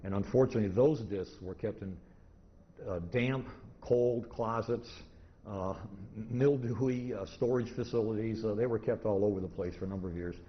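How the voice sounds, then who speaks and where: A middle-aged American man presenting an informal lecture at a convention, in a halting, methodical, anecdotal manner. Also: fairly low pitch. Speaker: presenter, old-time radio collector. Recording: dull, noisy live room recording.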